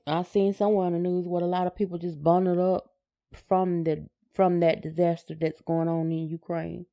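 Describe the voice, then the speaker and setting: A middle-aged American woman with a rather low, very mellow voice. She co-hosts in a casual, colloquial style, feeling her way tentatively through what she says. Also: flat delivery, moderate pace. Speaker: podcast co-host. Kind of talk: casual chat.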